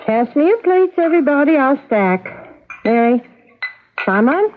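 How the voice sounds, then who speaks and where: A woman's voice: high voice, big pitch swings, unhurried, over music. A woman playing a fretful, protective farm mother in a radio drama, fussing as she speaks. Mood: brisk, bossy. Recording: tinny vintage radio broadcast.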